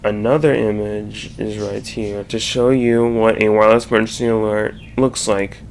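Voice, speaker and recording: A man giving an informal explanatory slide presentation, haltingly, with stumbles and restarts mid-sentence. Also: fairly low pitch, little pitch movement, slight background noise.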